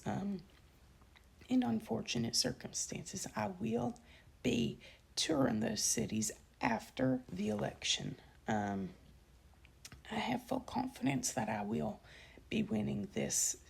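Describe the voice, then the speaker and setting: A young woman with a dry throat gives an indignant, emphatic campaign statement, often pausing with ums and correcting herself. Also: a little high, creaky, a little mellow, slightly rough. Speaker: channel host giving a campaign statement. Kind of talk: unscripted spoken statement to camera.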